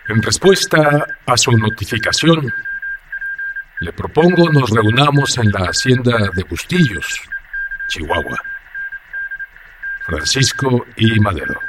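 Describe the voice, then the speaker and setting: Formal leader, slow dictation, far off under heavy noise. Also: male voice, medium pitch, animated. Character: formal revolutionary leader reading out his written message. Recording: distant voice under loud radio-like noise.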